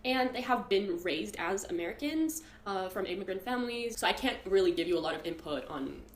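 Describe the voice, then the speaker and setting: A woman's voice: medium pitch, animated, fairly quick. Chatty woman from Greece, roomy echo. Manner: chatty, self-deprecating. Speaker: vlogger. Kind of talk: casual YouTube video talk. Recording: echoey room, faint noise.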